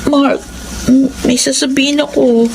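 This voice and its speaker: A woman's voice: sits high, level tone, unhurried. A woman playing an anxious, guilty young woman, pleading and apologetic in a Filipino radio drama. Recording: clear background noise and ambience.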